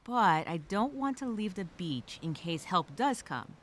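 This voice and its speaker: A woman's voice: lowish voice, sing-song, a little slow. A woman playing a nervous, wry castaway who rambles and reassures herself in a spoken journal monologue. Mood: thoughtful, subdued. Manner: rambling, nervous, self-questioning, sarcastic asides. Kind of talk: first-person dramatic monologue as spoken journal.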